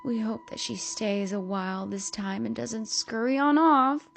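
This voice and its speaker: A woman voicing an eerie, sugary middle-aged mother with a menacing edge, speaking slowly in a sing-song way. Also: fairly high pitch, vocal fry, a little hiss or hum, pitched above the performer's own voice. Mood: cold menace.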